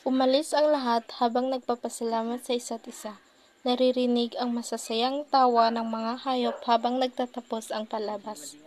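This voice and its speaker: A woman's voice: fairly high pitch, fairly even intonation, moderate pace, a little mellow. A woman narrating a scripted children's puppet story, with faint noise behind.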